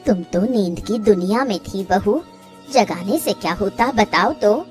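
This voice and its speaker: Woman voicing an old village mother-in-law, slow pace, motherly, mildly chiding, cartoon family drama, soft music behind. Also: medium pitch, lively intonation, natural voice. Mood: gently chiding. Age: older. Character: elderly village mother-in-law, fond and gently scolding. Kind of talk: Hindi cartoon family story dialogue.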